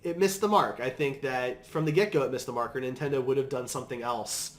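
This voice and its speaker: Male podcast host, mid-pitched, measured and opinionated, conversational panel delivery. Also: reverberant. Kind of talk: casual panel discussion.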